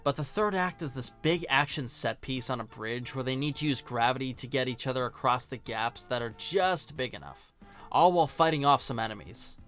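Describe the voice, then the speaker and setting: A man's voice: mid-range voice, a bit bright. A man narrating a casual, opinionated film review as host, blunt and sarcastic, swearing now and then. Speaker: host, video essayist. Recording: music behind the voice. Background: music.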